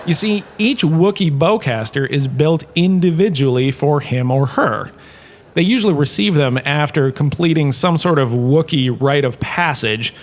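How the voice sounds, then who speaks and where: A man playing a washed-up actor turned lecturer in a comedic audio drama, chatty and wry, tossing asides to his class. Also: a little high.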